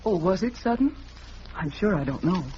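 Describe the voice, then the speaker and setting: A woman's voice: lowish voice, lively intonation, moderate pace, a little mellow, natural voice. A woman plays a genteel widow, evasive and a little flustered. Her polite, melodramatic lines rise and fall widely. The sound is a muffled old radio play with faint noise. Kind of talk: 1940s radio acting. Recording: muffled, noisy 1940s radio recording.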